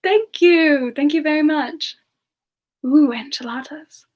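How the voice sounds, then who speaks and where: Woman as a high-voiced, gentle, playful demon girl. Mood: playful, relaxed. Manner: giggly, soft-spoken, unhurried. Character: Frostbyte, a playful, gentle female demon character. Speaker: streamer answering chat and taking song requests. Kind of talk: semi-ASMR live Q&A, soft casual chat.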